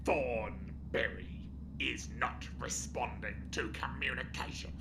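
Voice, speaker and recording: A gravelly, ominous servant. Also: female voice, a bass voice, noisy background, voice pushed down, exaggerated voice. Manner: slow, ominous, whispery. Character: grim, gravelly servant bringing news to the empress.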